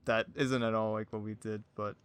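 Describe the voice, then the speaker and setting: A man's voice: on the low side, level tone, normal speed, smooth. A man chatting casually as a podcast guest, rambling, giggly and self-deprecating. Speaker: podcast guest, audio drama creator. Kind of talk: casual chat.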